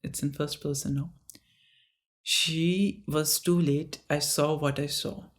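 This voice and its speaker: An author reading their own story aloud in a slow, halting way, often stopping for chatty asides. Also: mid-range voice, level tone.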